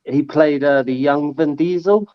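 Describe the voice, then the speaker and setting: A man with a New Zealand accent, a guest on a podcast, chatting in a relaxed way and calling people "bro" and "mate". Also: fairly high pitch, measured pace, dry recording, muffled recording. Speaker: guest fan. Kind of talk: casual podcast chat.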